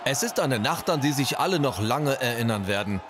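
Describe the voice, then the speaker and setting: A man's voice: medium pitch, lively intonation, brisk, a crowd in the background, background noise. A man narrating a regional TV news feature as a scripted voice-over.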